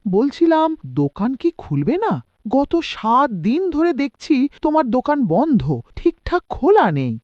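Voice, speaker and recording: Lady doing gossipy cartoon villager, super swoopy pitch, disapproving, dull dry recording. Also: sing-song, normal speed, dry recording. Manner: incredulous, exclaiming. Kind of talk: cartoon voice acting.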